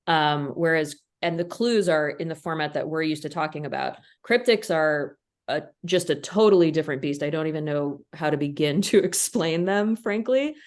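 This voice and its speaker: Woman, low voice, fluent and upbeat explainer; crossword constructor as interview guest. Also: moderate pace. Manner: fluent, enthusiastic explainer, occasional laughs. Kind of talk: casual interview answer.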